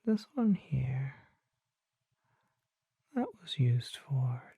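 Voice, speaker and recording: A performer voicing a mysterious, courtly vampire apothecary in a breathy, formal ASMR whisper, close to the microphone. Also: medium pitch, big pitch swings, no echo, full character voice. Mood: hushed, mysterious. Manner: slow, formal, deliberate, hushed.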